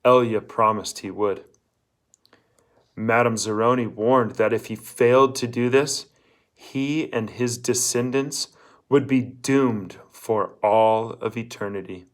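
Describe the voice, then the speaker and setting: A man's voice: mid-range voice, slow. A man narrating a children's novel, reading aloud from the text as a storyteller. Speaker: audiobook reader.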